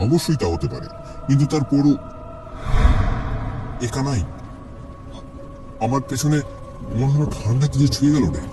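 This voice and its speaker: A man's voice: medium pitch, expressive, normal speed, pauses often, distant mic, music in the background, lightly acted. A man playing a stubborn, suspicious older fisherman uncle in colloquial cartoon horror dialogue. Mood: uneasy. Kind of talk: animated horror story dialogue.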